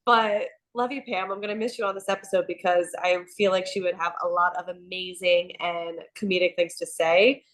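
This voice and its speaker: A woman co-hosting a casual TV aftershow chat, a bit nasal from a cold, animated and gossipy with frequent laughter. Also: lowish voice, normal speed, hardly any pauses, rough recording. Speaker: co-host.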